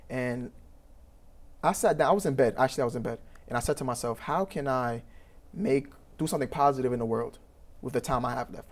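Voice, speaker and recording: Young Trinidadian man, program founder, fast and earnest with frequent restarts. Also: mid-range voice. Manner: earnest, rambling, many fillers and restarts. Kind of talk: interview answers.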